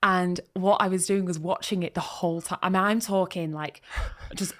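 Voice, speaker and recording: A woman's voice: on the low side, steady tone, normal speed, a bit bright. A woman co-hosting a podcast, chatting casually and telling a story with frequent giggles. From United Kingdom. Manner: giggly, storytelling, laughs often. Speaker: podcast co-host. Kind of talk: casual chat.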